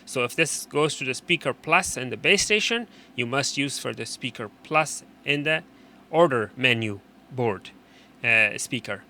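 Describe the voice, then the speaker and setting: A man presenting an unscripted technical how-to, explaining in a halting way and often repeating and rephrasing his instructions. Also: mid-range voice, animated, bright, forceful tone, a little hiss or hum. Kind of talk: unscripted hands-on tutorial explanation.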